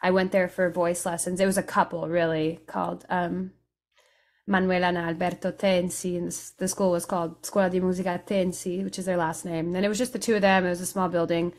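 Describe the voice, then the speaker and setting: A young woman from Italy, a guest musician, talking casually in an interview over a video call, relaxed and thoughtful, with pauses and fillers. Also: fairly low pitch. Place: home room with studio mic and headphones. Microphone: studio condenser mic on video call.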